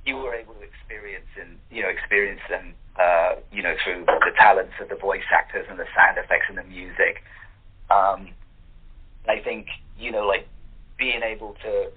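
A man chatting casually in a rambling, halting way over a narrow phone-like line. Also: sits low, fairly even intonation, breathy edge. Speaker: voice actor on a podcast panel. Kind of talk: casual chat. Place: remote call.

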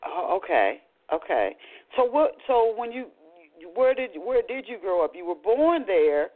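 A woman hosting a book talk radio show, chatty and animated, often restarting her sentences. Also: mid-range voice, measured pace. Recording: tinny phone-line audio.

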